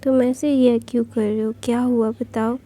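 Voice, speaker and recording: A woman voicing a gentle father comforting his son in a soothing way. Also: fairly high pitch, level tone, moderate pace, natural voice. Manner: soothing, reassuring.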